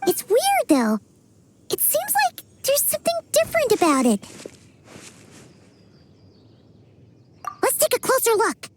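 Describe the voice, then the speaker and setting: A woman voicing a small, excitable fairy companion girl in a video game, with a squeaky childlike voice and perky, exaggerated delivery. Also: moderate pace, heavy background noise, exaggerated voice. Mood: upbeat. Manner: perky, exaggerated, refers to self by name. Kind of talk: video game character voice acting.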